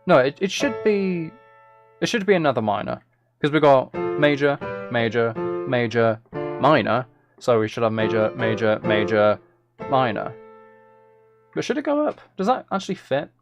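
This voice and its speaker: A man's voice: medium pitch, very animated, normal speed, muffled recording, over music. A man chatting casually on a live stream, playful and self-deprecating, thinking aloud as he works. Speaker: live streamer and music maker.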